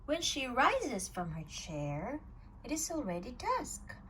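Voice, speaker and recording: Slow, expressive woman reading a picture book. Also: sits high, a little hiss or hum. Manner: slow, expressive storytelling with a swinging voice. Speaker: storytime reader.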